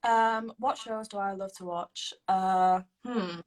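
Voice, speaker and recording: A young woman, chatting playfully and agreeing quickly in a casual conversation. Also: mid-range voice, steady tone. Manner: bubbly and playful, lots of ums and quick agreement. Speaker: actor answering fan questions. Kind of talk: casual chat.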